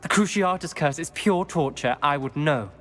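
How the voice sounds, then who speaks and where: A man's voice: fairly high pitch, fairly bright tone, soft background music, close to an everyday voice. A man acting a grave, guilt-ridden young wizard. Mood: bitter, remorseful. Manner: formal, measured, grave. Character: guilt-ridden, principled young male wizard student of dark lineage. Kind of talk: scripted game cutscene acting.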